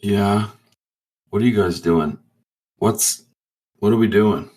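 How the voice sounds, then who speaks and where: A man roleplaying in a tabletop game, telling his story in a halting, laid-back, profane way. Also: lowish voice, darkish tone. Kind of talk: casual in-character storytelling.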